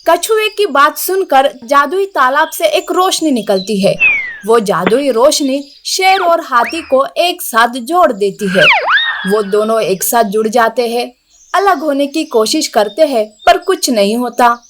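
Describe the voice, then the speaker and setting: A woman reads a children's story as narrator. Her voice is quite high. She delivers the scripted lines at a slow, measured pace. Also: expressive, noisy background.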